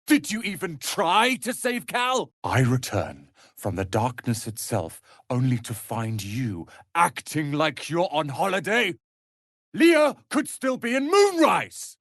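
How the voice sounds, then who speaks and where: A man voicing a proud, sharp-tongued young tiefling wizard's apprentice in a video game, with haughty, theatrical, biting delivery. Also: a little high, sing-song, slow pace, dry recording, studio quality, heavily acted. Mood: anxious, demanding. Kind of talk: dramatic video game voice acting.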